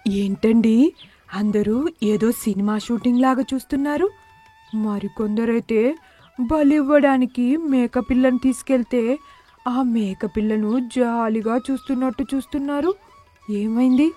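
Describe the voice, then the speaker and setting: A naive young bride with a high voice played by a woman in a cartoon family tale. She is wide-eyed, questioning and easily unsettled, over faint music. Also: steady tone, measured pace, mellow. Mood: puzzled. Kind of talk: animated moral story dialogue.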